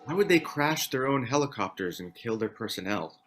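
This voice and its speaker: A man's voice: mid-range voice, animated, brisk, fairly bright tone, soft background music, natural voice. A man at a table read playing a worried, weary man surviving a disaster. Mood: thoughtful.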